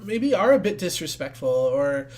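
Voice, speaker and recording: A man's voice: on the high side, big pitch swings, normal speed. A man co-hosting a casual interview, asking curious, probing questions. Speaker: podcast co-host.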